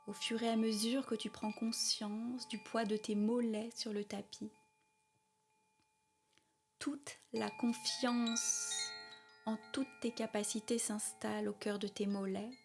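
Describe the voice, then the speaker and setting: Very slow, soft-spoken woman guiding meditation. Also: on the high side, music in the background. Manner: calm, soothing, repetitive phrasing with long pauses. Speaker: yoga nidra guide. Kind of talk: guided meditation, spoken slowly.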